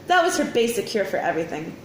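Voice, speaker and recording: A woman reading a children's story aloud in an expressive, dramatic teacher's storytelling manner. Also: medium pitch, fast talker. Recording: roomy echo, faint background noise.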